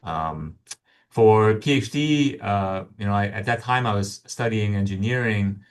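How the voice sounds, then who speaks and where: A man's voice: on the low side, unhurried. A man from Hong Kong, a guest scientist answering interview questions in a hesitant way, with many ums and restarts.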